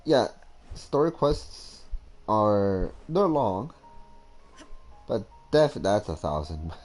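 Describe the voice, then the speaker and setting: A man's voice: on the low side, very animated, drawn-out words, a little mellow. A man giving casual, rambling, hesitant game commentary as a streamer. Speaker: gaming streamer. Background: faint game music.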